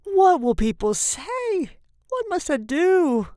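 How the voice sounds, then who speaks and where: A woman's voice: very high-pitched, big pitch swings, slow, darkish tone, no echo, studio quality, voice pushed up, heavily acted. A woman voicing a frantic, horrified man, a new father in a storybook, in an outraged, gasping manner.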